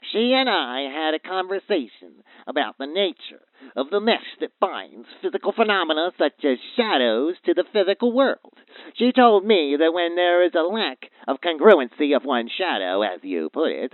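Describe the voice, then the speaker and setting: An actor playing a grave, foreboding doctor of paranormal phenomena, speaking formally as a radio play guest over a phone line. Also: male voice, a little high, no echo. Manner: formal, ominous, deliberate wording. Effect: phone line filter. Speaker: expert guest calling in to a radio show. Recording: thin, tinny phone line.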